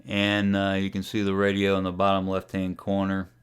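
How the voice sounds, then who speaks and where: A man giving a casual, folksy tutorial as a ham radio hobbyist, talking through a software demo in an unhurried way. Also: low-pitched, completely flat delivery, clear, resonant tone. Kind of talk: casual screen-share tutorial.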